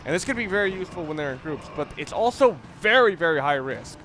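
A man giving unscripted gameplay commentary, explaining things in a halting, analytical way. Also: on the high side, lively intonation, bright, forceful tone, slight background noise. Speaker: let's play commentator. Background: video game sounds and music.

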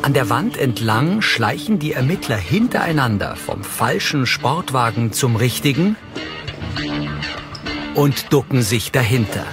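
A man reading scripted audio description for a TV crime drama, matter-of-fact and clearly articulated. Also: medium pitch, very animated, measured pace. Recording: TV soundtrack playing underneath. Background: loud music and vehicle sounds.

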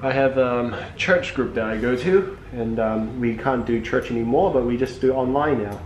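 A man's voice: medium pitch, normal speed. A young man from Hong Kong working as a podiatrist, chatting casually with a patient in an easygoing way. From Hong Kong, moved to New Zealand young. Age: young adult. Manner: easygoing, uses "like" often. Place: indoor treatment room. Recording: roomy echo, clear background noise.

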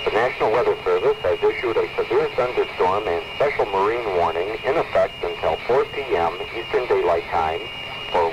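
A muffled vintage radio recording swamped in loud noise carries an American man's low voice. He reads official weather bulletins in a deliberate, formal announcer style. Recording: noisy muffled 1979 radio tape.

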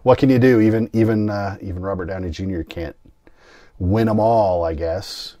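A man's voice: lowish voice, normal speed, warm tone, no room sound, studio quality. A man co-hosting a casual film review podcast, talking in a wry, easygoing way. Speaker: podcast co-host.

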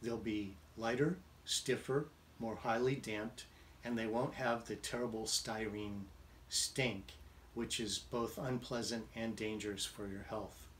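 A man's voice: lowish voice, fairly even intonation, fairly bright tone, a little hiss or hum. Echoey middle-aged man presenting a tutorial. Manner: measured, deliberate, technical. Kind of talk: scripted instructional presentation. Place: small indoor workshop with bare walls. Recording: roomy, echoing workshop sound.

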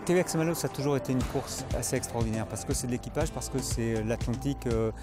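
A man, a class-winning skipper, interviewed outdoors on a harbour quay, talking fast with many fillers. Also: mid-range voice, over music. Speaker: race class winner.

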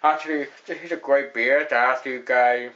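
A young man talks casually through a beer review, rambling and padding his words with fillers. His voice sits at an ordinary middle pitch for a man. The audio is a bit dull and lo-fi, picked up by a close camera mic in a small indoor room. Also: steady tone, normal speed, a bit bright. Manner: rambling, repeats "actually", lots of fillers. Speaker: host of a beer review show.

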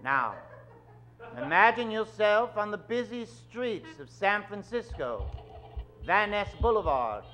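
A man in middle age narrating an old-time radio drama on stage, suave, with dramatic pauses. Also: sits high, very animated, bright, forceful tone. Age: middle-aged. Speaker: host and announcer of a radio show. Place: stage of a small theatre. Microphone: vintage-style stand microphone.

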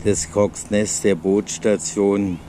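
A man's voice: on the low side, little pitch movement, slow pace, warm tone, a little hiss or hum. A man with a German accent giving casual commentary in short, clipped phrases while filming a lakeside terrace outdoors on a handheld camera. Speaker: vlogger filming a restaurant terrace. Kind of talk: casual walk-through commentary.

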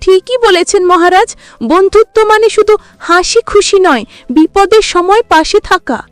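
A very high, squeaky cartoon monkey, acted by a woman, childlike, friendly and quick-witted. The voice belongs to a children's animal story and sits close and dry, with faint music behind it. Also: dry recording. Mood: earnest.

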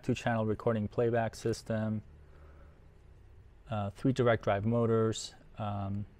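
A middle-aged man giving casual, unhurried unboxing commentary, thinking aloud as he handles things in a workshop. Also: lowish voice, a little mellow. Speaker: host of an audio gear unboxing video. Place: indoor workshop at a workbench.

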